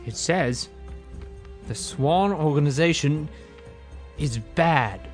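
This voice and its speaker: Man playing fussy, lively professor. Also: fairly high pitch, slow pace, music in the background. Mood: matter-of-fact. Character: fussy, matter-of-fact male professor on expedition. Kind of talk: comic audio adventure drama.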